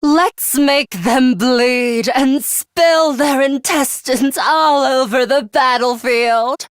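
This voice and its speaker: A woman's voice: high-pitched, a bit bright, dry recording, clean studio sound, full character voice. A woman playing a bloodthirsty, disdainful young female student commander in a video game, haughty, taunting and commanding. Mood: sadistic relish.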